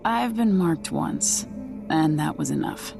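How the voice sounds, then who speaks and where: An actress playing a young deposed empress in a video game, resolute and weary, speaking in a measured, serious way. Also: female voice, low-pitched, lively intonation, gravelly, exaggerated voice. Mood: guarded, dry. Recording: game music behind the voice.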